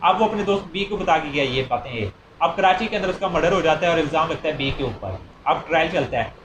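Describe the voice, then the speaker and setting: A man's voice: fairly high pitch, lively intonation, quick pace. A man with a Pakistani accent giving an informal explanatory law lecture, repeating and rephrasing his points with examples. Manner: repeats and rephrases points, mixing Urdu with English legal terms. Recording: noisy, echoey room.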